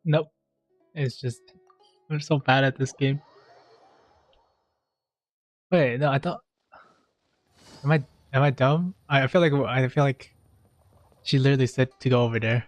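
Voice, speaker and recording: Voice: mid-range voice, moderate pace, pauses often, no room sound. A video game streamer giving casual live commentary in a hesitant, joking, self-deprecating way. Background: game music.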